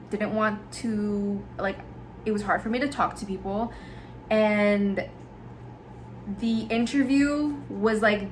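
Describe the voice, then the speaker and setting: A young woman from Hawaii hosts her podcast in a candid, rambling way that is full of fillers. Her voice sits at a middle pitch. A faint hum of noise runs under it. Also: a bit bright, low-quality recording. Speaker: podcast host. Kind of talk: casual personal storytelling.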